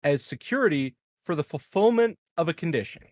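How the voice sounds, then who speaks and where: A man's voice: fairly high pitch, expressive, fairly quick, a little mellow, no echo, clean studio sound. A man bantering casually as a player in a tabletop game chat.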